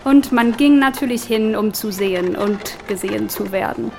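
A woman's voice: fairly high pitch, normal speed, creaky, music in the background. Woman giving dryly witty scripted commentary. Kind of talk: scripted explanatory commentary with dry wit.

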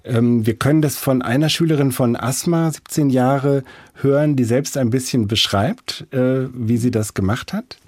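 A man's voice: medium pitch, normal speed, hardly any pauses, a little mellow, no room sound, studio quality. A man moderating a literary interview as the host.

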